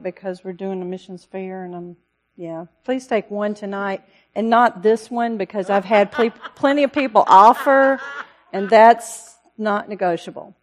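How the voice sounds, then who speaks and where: A woman missionary giving an earnest, pleading talk to a church congregation, with a loud voice. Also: mid-range voice. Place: church service.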